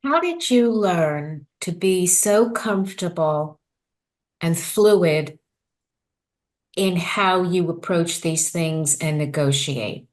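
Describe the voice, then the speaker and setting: A woman in the audience of a seminar asking careful, drawn-out questions. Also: lowish voice, lively intonation.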